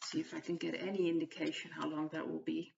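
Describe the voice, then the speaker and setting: A woman from the Netherlands with a Dutch accent, hosting her own channel and talking through a tarot card reading in a hesitant, halting way that often restarts phrases. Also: deep voice, flat delivery, vocal fry, a little mellow, slight background noise. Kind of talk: casual spoken tarot reading monologue. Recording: distant, dull lo-fi mic.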